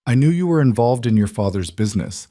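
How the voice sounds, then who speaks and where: A man's voice: mid-range voice, very animated, fast talker, dark tone, no room sound, close to an everyday voice. A defiant, hurt young wife performed by a male audiobook narrator. She is guarded and stubborn, pressing her accusations with feeling. The recording is very dry. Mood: hurt anger. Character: defiant, hurt pregnant wife of a mafia boss. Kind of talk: dramatic audiobook dialogue.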